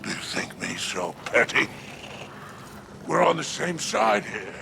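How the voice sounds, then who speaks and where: Gravelly, scheming alien crime boss. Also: male voice, on the high side, big pitch swings, slow, background noise, exaggerated voice. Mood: oily persuasion. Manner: wheedling, florid, smug drawl. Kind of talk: video game cutscene voice acting.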